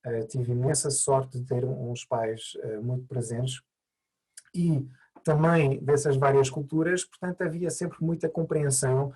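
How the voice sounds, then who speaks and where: A man's voice: mid-range voice, fairly even intonation, measured pace, a little mellow, husky. A man who learned Portuguese as an adult, by birth, answering interview questions thoughtfully with many hesitations and restarts. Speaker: mediator, interview guest.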